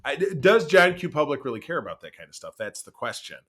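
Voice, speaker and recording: A man's voice: a little high, sing-song, fast talker, sharp tone. A middle-aged man co-hosting a podcast, chatting casually in a rambling, emphatic way. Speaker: podcast co-host. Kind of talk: casual conversational podcast chat.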